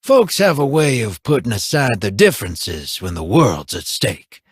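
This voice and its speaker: Wry space rogue for a game, husky, slow drawl, pitch bouncing all over. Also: male voice, sing-song, dry recording, clean studio sound. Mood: easygoing. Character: wry, roguish, easygoing space scoundrel man. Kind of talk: video game voice acting.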